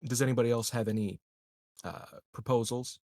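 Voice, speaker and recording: A man playing a tabletop roleplaying game, speaking in character in a formal, measured, deadpan way. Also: mid-range voice, no room sound, studio quality.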